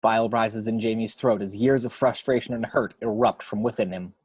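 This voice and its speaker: A man's voice: on the low side, fairly even intonation, a little fast, a little mellow, slightly rough, no room sound. A man narrating stage directions from a script at an amateur table read.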